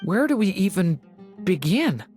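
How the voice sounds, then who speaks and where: A woman voicing a gentle, anxious young boy in a video game, soft and earnest with a halting delivery. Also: medium pitch, big pitch swings, faint music behind. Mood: uncertain.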